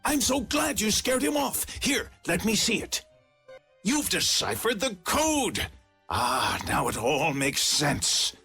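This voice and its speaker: Excitable, high-voiced treasure hunter man. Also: slow pace, soft background music, heavily acted. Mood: eager, excited. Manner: excitable, dramatic. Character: excitable treasure-obsessed man, agitated and eager. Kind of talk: video game cutscene acting.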